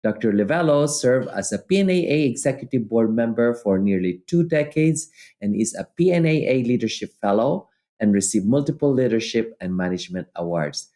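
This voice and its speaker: Male podcast host, formal interview style, soft-edged and mellow voice. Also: medium pitch, expressive, brisk. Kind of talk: formal scripted introduction and interviewing.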